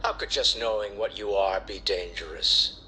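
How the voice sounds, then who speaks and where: An actor playing an earnest, excitable space explorer in a video game, speaking in an expressive, inquisitive way. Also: male voice, on the low side, normal speed, soft background music. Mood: pleading, curious. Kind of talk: scripted video game acting.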